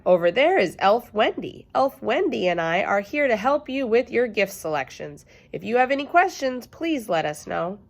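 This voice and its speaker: A woman's voice: on the high side, very animated, moderate pace, gravelly, hardly any pauses, voice pushed up, full character voice. A woman voicing a perky, officious school-volunteer mother in a storybook, over-polite and emphatic. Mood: perky, welcoming.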